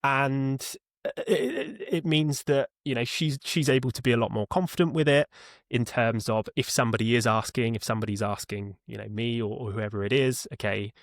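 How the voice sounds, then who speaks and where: A man's voice: mid-range voice, moderate pace, gravelly, no echo, studio quality. A man speaking as an interview guest, walking through how his support team works in a hesitant, explanatory, conversational way. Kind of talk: conversational product walkthrough in an interview.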